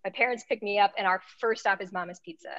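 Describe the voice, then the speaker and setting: A young woman speaking as a panelist over a video call from home, chatty and quick to laugh. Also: medium pitch, fairly bright tone, no echo. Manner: chatty, laughs easily, uses "like" and "right". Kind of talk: casual panel talk. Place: home room. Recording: dull, dry video call audio.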